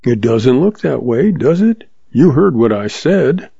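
Man doing a quiet young cowboy, slow plain talk, dark husky voice, dull lo-fi sound. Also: medium pitch, sing-song, dry recording, full character voice. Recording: dull lo-fi audio.

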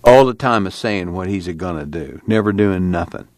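A man reading the part of a gruff, stubborn farm father who grumbles in rural speech. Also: low-pitched, animated, moderate pace, darkish tone, dry recording. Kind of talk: reading a novel aloud.

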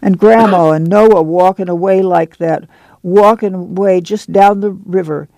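A man voicing a tired, steadfast farm mother with a soft rural drawl, in his own creaky, highish voice. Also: steady tone, unhurried, no room sound, poor audio. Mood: sad.

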